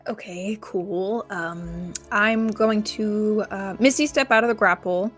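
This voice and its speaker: A woman's voice: medium pitch, takes it slowly, fairly bright tone. A woman talking casually as a player in a tabletop game. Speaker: tabletop role-play player. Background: background music.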